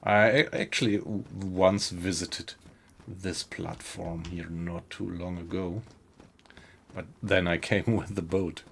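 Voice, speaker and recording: A low, gravelly man's voice with a German accent, taking his time as he talks through a survival game live. He chats easily with his viewers and reacts to what he finds while thinking aloud. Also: steady tone, slow, a bit bright, a little hiss or hum. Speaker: game streamer. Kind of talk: live gameplay commentary, chatting with viewers.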